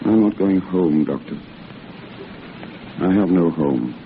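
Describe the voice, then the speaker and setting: An actor playing a blinded war veteran, proud and resigned, with measured, polite delivery in a 1940s radio drama. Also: male voice, deep voice. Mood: resigned, guarded. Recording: tinny, hissy old radio broadcast.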